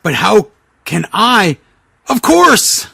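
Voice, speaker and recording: Man putting on an overwrought, excitable monster hero, husky and swooping. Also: high voice, very slow, no echo, clean studio sound, pitched above the performer's own voice, heavily acted. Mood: alarmed. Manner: overwrought, theatrical exclamations. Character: excitable, melodramatic monster wrestler hero, shouting alarm. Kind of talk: mock-dramatic fan fiction reading.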